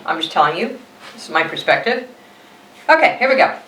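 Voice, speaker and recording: A woman voicing an overworked, earnest red crayon from a storybook, halting and apologetic as it airs its complaints. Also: lowish voice, sing-song, a bit bright, echoey room, distant mic, slight background noise, pitched below the performer's own voice, poor audio.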